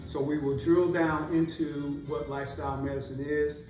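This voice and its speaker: A man's voice: fairly high pitch, level tone, darkish tone, soft background music. A man hosting a casual podcast, his talk full of "uh" fillers. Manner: halting, many "uh" fillers. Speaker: podcast host. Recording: roomy sound from a distant mic.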